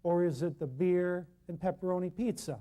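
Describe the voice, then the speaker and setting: A man leads a healing workshop in a loud, emphatic, folksy manner, stacking up short lists of words for effect. His voice sits high for a man, yet it has a mellow, warm tone. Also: moderate pace. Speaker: natural healing teacher. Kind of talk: workshop lecture to a live class.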